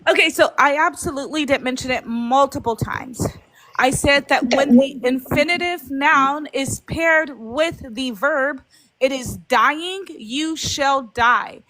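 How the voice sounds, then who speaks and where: A woman's voice: high-pitched, slow pace, a bit bright, dry recording, low-quality recording. A woman debating live online, defending herself and explaining a grammar point step by step in an insistent tone.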